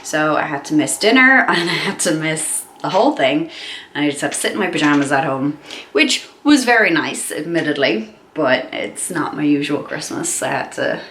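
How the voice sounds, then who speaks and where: A young woman chats on while she does her makeup, her voice stuffed up and nasal from a cold. She rambles with plenty of ums and likes, in a slightly low register. The room is echoey, with a faint hum underneath. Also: animated, moderate pace, a bit bright. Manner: chatty and rambling, many fillers. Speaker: beauty vlogger. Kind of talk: casual chat while doing makeup.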